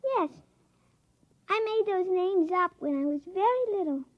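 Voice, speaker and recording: A performer playing a precocious, calm little girl of three or four in 1950s radio drama, childlike yet matter-of-fact and knowing. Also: extremely high pitch, unhurried. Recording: muffled vintage radio recording.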